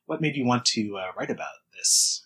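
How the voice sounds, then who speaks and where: A man hosting a casual podcast interview in a measured, understated, slightly hesitant way. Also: mid-range voice, animated, bright, forceful tone, slightly rough. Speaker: podcast host.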